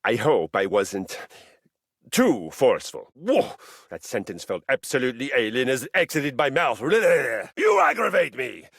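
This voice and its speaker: A living treadmill from a video game, a boastful warrior obsessed with honor and violence. His gravelly, husky voice swings wildly up and down in pitch. The delivery is pompous and grandly theatrical. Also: wildly swooping pitch, unhurried, fairly bright tone, dry recording, clean studio sound, full character voice. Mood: flustered, exclaiming. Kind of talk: theatrical game character acting.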